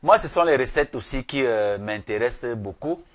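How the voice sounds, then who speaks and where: A man hosting a TV talk show, soft in his agreement and hesitant, with many fillers. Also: mid-range voice, animated, moderate pace. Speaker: TV show host.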